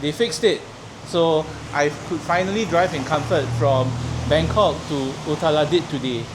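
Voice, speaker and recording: A man from Singapore casually telling a friend about his long drive, relaxed and a bit rambling. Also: fairly high pitch, normal speed, background noise. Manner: relaxed storytelling, a few fillers. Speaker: road-trip companion. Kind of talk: casual chat.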